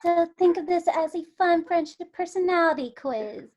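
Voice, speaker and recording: A high-pitched, bossy alien examiner, acted by a woman, with dry, blunt delivery and open scorn for humans. It sounds like a part in a comedy script table read. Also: normal speed, darkish tone. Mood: brisk, official.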